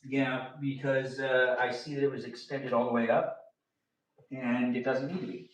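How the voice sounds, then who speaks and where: Man in his thirties, livestream host, chatty and rambling, mid-pitched, recorded from a distance in an echoey kitchen. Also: level tone, moderate pace. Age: 30s. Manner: playful and rambling, sing-song asides. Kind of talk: casual livestream chat. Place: home kitchen area. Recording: roomy, distant camera audio.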